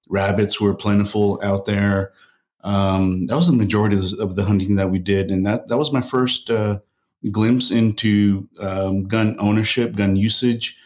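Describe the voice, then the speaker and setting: A man raised in El Paso talks as a podcast guest, unhurried and easygoing. He pauses to recall details as he tells his stories. His voice sits slightly low. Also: a little mellow. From El Paso, Texas. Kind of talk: casual interview.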